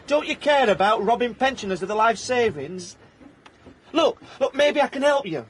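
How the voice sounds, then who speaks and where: An actor with a South Yorkshire accent playing a hapless ex-miner turned private investigator in a radio comedy-drama, deadpan and mock hard-boiled. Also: male voice, extremely high pitch, normal speed, a little hiss or hum. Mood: earnest pleading. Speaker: narrator and lead actor. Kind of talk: radio comedy-drama with first-person narration.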